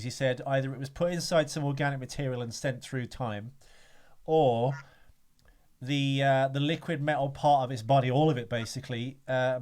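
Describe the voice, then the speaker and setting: A man of ordinary pitch co-hosting a podcast in casual conversation. He rambles, restarts his sentences and swears offhandedly as he works through his point. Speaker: podcast co-host. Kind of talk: casual film discussion.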